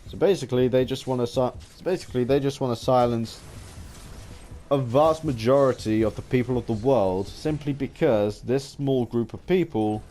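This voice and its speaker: Man rambling haltingly over clear background noise. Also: mid-range voice, dark tone. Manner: halting, often restarting and repeating phrases. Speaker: gaming vlogger. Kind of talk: unscripted opinion ramble to viewers.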